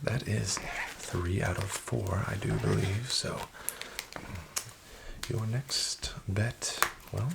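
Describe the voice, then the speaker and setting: A man playing a smooth-talking, falsely friendly casino dealer, soft-spoken and half-whispered. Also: lowish voice, fairly even intonation, slow pace, heavily acted. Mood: sly coaxing. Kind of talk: soft-spoken ASMR roleplay. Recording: audible background noise with card shuffling.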